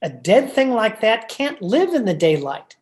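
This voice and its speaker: A woman's voice: a little high, big pitch swings, unhurried, a little mellow. A woman acting a meek, henpecked, frightened husband in an old-time radio thriller, timid and stammering.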